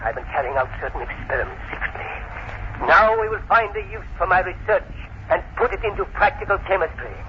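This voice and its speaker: A muffled, hissy old radio recording carries a man acting an imperious professor bent on revenge. His voice sits fairly high, and he speaks with theatrical menace, as a villain who thinks himself above the world. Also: fairly quick, lightly acted. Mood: coldly menacing. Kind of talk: 1940s radio drama acting.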